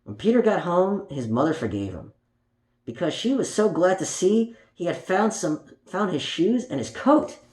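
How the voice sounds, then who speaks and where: A man's voice: sits high, sing-song, moderate pace, a little mellow. A man reading a children's story aloud in a playful, child-directed way, chatting to his young listeners as he goes. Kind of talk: read-aloud children's picture book with playful asides. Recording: roomy home recording with faint noise.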